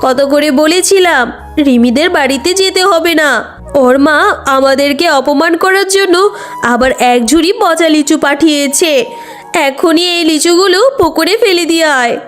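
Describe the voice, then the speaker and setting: A woman's voice: high voice, moderate pace, lightly acted. A woman voicing a weary, poor mother fox in a cartoon, plaintive and firm, over music. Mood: scolding.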